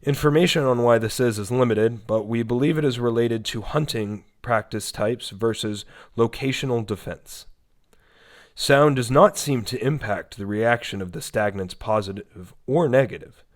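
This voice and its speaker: A man's voice: mid-range voice, moderate pace, natural voice. A man voicing an impersonal instructional warning tape in a horror story, formal and clinical.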